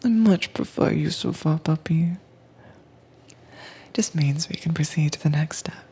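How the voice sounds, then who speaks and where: A woman performing a whispered roleplay as a calm, commanding agent who keeps a werewolf caged, speaking in a breathy, teasing manner close to the mic. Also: sits low. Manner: cool, teasing, unhurried. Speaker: audio drama voice actress. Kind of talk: soft-spoken whispered roleplay audio.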